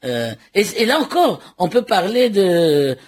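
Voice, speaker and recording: A man's voice: high-pitched, sing-song, a little slow, slightly rough. A man from Morocco, a novelist speaking in a radio interview, who stumbles, repeats words and laughs often. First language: Arabic and French. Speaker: novelist guest.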